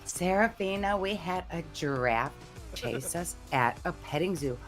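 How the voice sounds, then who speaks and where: A woman's voice: on the low side, lively intonation, a little slow, soft background music, voice pushed down. A woman voicing a tipsy, carefree, impulsive woman, gushing and insistent in a comedic radio-drama table read. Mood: breezy.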